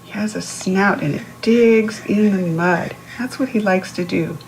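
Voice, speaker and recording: A woman's voice: medium pitch, measured pace, vocal fry, darkish tone, slight background noise, rough recording. A woman reading a picture book aloud to young children, instructive, posing questions to the listener and explaining words. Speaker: children's read-aloud host.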